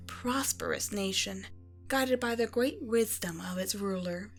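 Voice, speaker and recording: A woman playing a regal evil empress and doting mother in an ASMR bedtime roleplay, speaking in a measured, tender, condescending way to a child. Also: medium pitch, natural voice. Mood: stately storytelling. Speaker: audio roleplay performer. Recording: music behind the voice.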